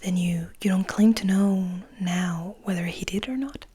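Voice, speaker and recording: A woman voicing an eager, astonished young male interviewer, questioning in a breathy whisper for a storybook reading. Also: medium pitch, unhurried, mellow, close to an everyday voice. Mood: curious. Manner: curious, questioning.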